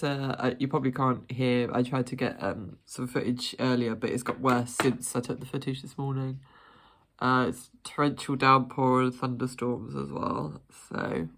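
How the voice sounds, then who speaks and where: Young woman, stuffed up nasal voice, rambly vlog chat. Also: very deep voice. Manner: rambling, chatty, self-deprecating with fillers. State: congested, nasal from hay fever. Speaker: fitness vlogger. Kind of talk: casual chat to camera.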